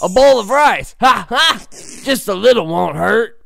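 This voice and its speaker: An actor plays a plucky mustached plumber hero in a fan-dubbed cartoon. He exclaims eagerly and excitably, his pitch leaping around. There is clear music behind the voice. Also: measured pace, exaggerated voice. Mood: delighted. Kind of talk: amateur English anime fan dub. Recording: loud background music behind the voice.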